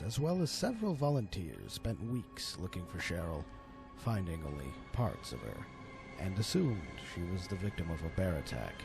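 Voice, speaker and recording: A man narrating a scripted horror story in a theatrical manner, with deliberate dramatic pauses. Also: deep voice, gravelly. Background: music under the voice.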